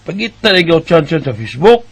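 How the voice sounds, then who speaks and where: A man acting out a cousin who jokes and teases his relative. His voice is slightly high, and he talks with an easy, chuckling manner. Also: sing-song, a little fast, fairly bright tone, husky, full character voice. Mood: teasing. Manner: teasing, chuckling. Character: joking cousin who nudges him to use social media. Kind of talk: radio drama acting.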